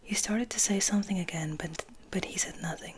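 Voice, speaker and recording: A woman whispering softly and slowly as an ASMR host, in a hushed manner with gentle pauses. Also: medium pitch. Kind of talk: whispered, soft-spoken ASMR. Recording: noticeable background noise.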